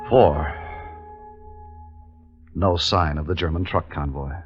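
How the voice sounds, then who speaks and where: An actor playing a weary, tense wartime army captain who also narrates, in 1950s radio drama style. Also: male voice, extremely low pitch, takes it slowly. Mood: tense, grim narration. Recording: muffled vintage 1950s radio recording, music behind.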